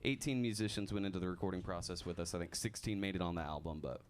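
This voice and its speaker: A man's voice: low-pitched, fast talker, a little hiss or hum. A male musician chatting casually in a live radio studio interview, reeling off his band members with an easy chuckle. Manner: relaxed, chuckling, reeling off names. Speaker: musician and bandleader. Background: band playing.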